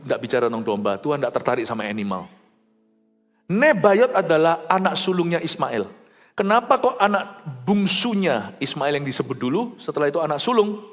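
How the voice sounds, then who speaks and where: Fervent male pastor preaching in a hall. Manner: fervent preaching with swings from loud exclamations to low quiet asides; mixes English words into his talk. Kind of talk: sermon. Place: large rooftop church venue. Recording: live hall reverb with faint music.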